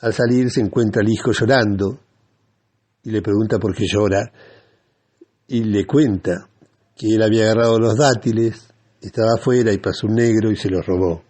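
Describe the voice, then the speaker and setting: A man with a Rioplatense Argentine accent hosting a radio show in a reflective, halting and deliberate monologue. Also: fairly low pitch, fairly even intonation, a little mellow.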